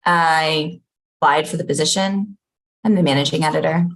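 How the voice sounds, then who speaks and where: A woman reading the part of an aloof, blunt managing editor in a deadpan, conversational comedy table read. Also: deep voice, moderate pace, creaky, slight background noise. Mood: guarded. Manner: deadpan, unimpressed.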